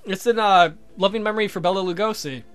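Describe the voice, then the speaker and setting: A man's voice: sits high, fast talker, a bit bright. A man hosting a let's play with casual, joking, sweary commentary. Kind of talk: casual game playthrough commentary and narration.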